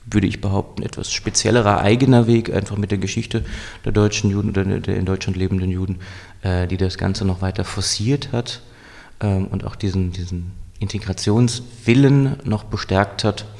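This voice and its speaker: A man with a fairly low, gravelly voice talks through a history in a measured, explanatory way, pausing and restarting his sentences as he thinks. The room gives his voice a long, ringing reverb. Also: steady tone, darkish tone. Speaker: historian guest. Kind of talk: thoughtful interview answers.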